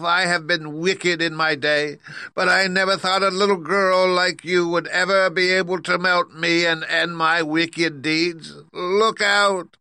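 Man putting on a screechy, shrill wicked old witch. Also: bright, forceful tone, smooth, no room sound, full character voice. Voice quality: wailing, screechy. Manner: drawn-out, theatrical delivery. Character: wicked cunning old witch, shrieking and wailing.

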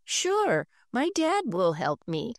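Storybook kid: woman reading an eager, high, excitable boy, unhurried. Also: dry recording, studio quality. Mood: earnest. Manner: eager, pleading.

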